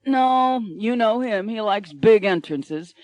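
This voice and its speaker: A woman's voice: mid-range voice, lively intonation, slow pace, darkish tone, no echo, poor audio. A woman plays a friendly security guard, a man who teases in an easygoing way. The sound is dry and close. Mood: teasing. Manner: easygoing, teasing.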